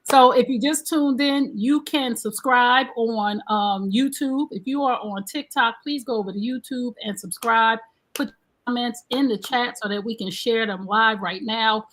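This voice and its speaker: A woman's voice: fairly high pitch, unhurried. Chatty woman hosting a live show. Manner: chatty, animated storytelling. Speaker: host. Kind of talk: casual live-stream talk show.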